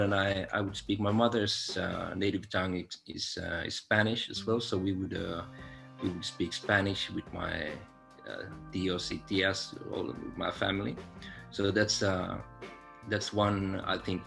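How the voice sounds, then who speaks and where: A man's voice: deep voice, vocal fry, a bit bright. A man from Finland, answering interview questions over a video call in a reflective, laid-back way. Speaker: guest musician.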